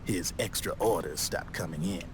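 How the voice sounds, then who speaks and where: Man playing a low-voiced, slick streetwise arms dealer. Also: normal speed, natural voice. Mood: breezy, salesmanlike. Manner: slangy, smooth-talking, casual swagger. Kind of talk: dramatic video game voice acting. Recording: clear background noise and ambience.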